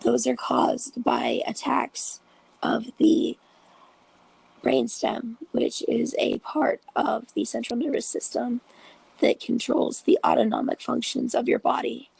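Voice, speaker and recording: A host with a cold and a raspy, breathy voice, explaining a topic slowly and patiently in a casual vlog, often dropping into half-whispers. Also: mid-range voice, little pitch movement, darkish tone, no echo, poor audio. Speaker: video host and patient support group leader.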